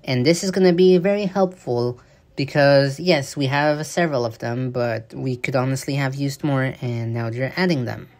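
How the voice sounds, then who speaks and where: A man talking casually over gameplay footage as a game news commentator, rambling and halting with frequent fillers and restarts. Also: mid-range voice, darkish tone.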